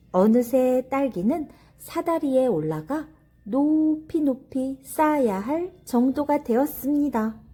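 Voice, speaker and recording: A woman's voice: sits high, a little mellow, breathy edge. A woman narrating a children's story expressively, in a careful, teacherly way.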